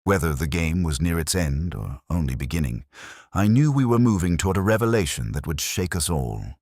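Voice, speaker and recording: A man narrating a detective story in a measured, solemn, literary style. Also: low-pitched, sing-song, darkish tone, no echo, clean studio sound.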